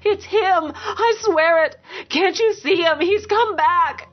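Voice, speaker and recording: A woman's voice: mid-range voice, steady tone, normal speed, lo-fi sound, faint music behind, lightly acted. A woman voicing a meek, frightened woman who sounds timid, apologetic and pleading in a dramatised story. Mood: panicked. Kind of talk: dramatised fiction narration.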